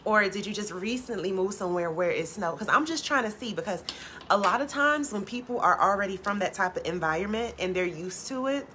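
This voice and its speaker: Soft low-voiced woman chatting away on a live stream, lots of "like", swears casually, faint hiss behind her. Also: brisk. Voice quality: low natural voice. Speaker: tarot reader hosting a live stream.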